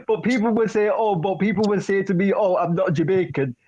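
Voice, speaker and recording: A man's voice: sits high, brisk, darkish tone. A man on a video call, rambling and repeating himself in casual chat. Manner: rambling, repeats himself, lots of restarts and bro. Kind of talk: casual chat on a live stream. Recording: dull lo-fi call audio.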